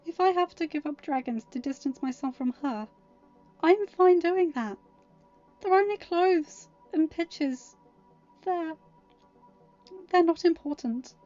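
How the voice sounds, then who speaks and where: Anguished young heroine for fan audio fiction, acted by a woman: very high, pleading, self-doubting, over faint music. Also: measured pace, pauses often. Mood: anxious, resigned. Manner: pleading, soft then snapping. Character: distressed, self-doubting teenage girl, guarded and exhausted. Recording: dull lo-fi audio.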